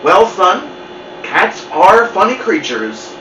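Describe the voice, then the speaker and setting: A man's voice: high voice, sing-song, slow pace, bright, forceful tone, pitched above the performer's own voice, heavily acted. A man voicing a bumbling, earnest father in a children's storybook, hesitant and flustered. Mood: explaining. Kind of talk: children's storybook read-aloud. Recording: muffled, roomy, distant mic with noise.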